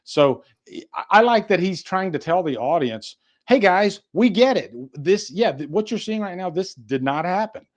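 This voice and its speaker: A man's voice: high-pitched, expressive, normal speed. A man co-hosting a podcast, discussing film and TV casually and repeating words as he works through his explanations. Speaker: podcast co-host.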